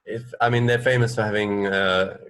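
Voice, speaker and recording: A man from England co-hosting a radio show in casual chat, thinking aloud in a halting way. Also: on the low side, steady tone, crowd noise. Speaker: radio co-host. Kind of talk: casual chat about fun facts.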